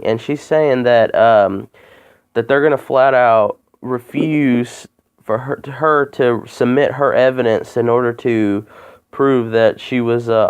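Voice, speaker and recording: A man making a complaint by phone, talking in a halting, rambling way and repeating himself. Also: medium pitch, smooth. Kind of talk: phone call, informal account. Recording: muffled phone call recording.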